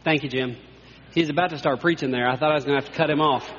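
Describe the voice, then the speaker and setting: A man's voice: on the high side, fairly even intonation, very fast, a bit bright, slight background noise. A male pastor leading a sharing time in church, affirming people and joking lightly. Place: church sanctuary. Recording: echoey church hall, dull sound.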